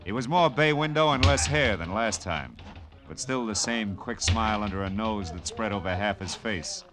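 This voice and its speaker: An actor playing a tough, wry private detective, narrating and talking in clipped 1940s radio style. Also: male voice, fairly low pitch, expressive, moderate pace, over music, lightly acted. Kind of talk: 1940s hard-boiled radio narration and acting.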